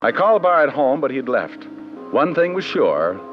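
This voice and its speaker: A man's voice: medium pitch, sing-song, moderate pace, natural voice. A cocky, wry American private eye from a vintage radio drama, played by a male actor. His delivery swings freely up and down as he tosses off sardonic lines. The sound is muffled old broadcast audio with prominent music. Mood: wry narration. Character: wisecracking, cocky male private detective narrating his case. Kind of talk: 1940s-50s radio detective acting and narration.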